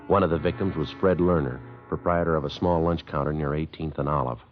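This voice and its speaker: Super low deadpan cop narrator, american, old radio show, muffled with music behind. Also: male voice, a little fast. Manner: deadpan, matter-of-fact. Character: terse, straight-shooting male police sergeant narrating his case. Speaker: lead actor and narrator of a police radio drama. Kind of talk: 1950s radio drama acting, clipped scripted narration.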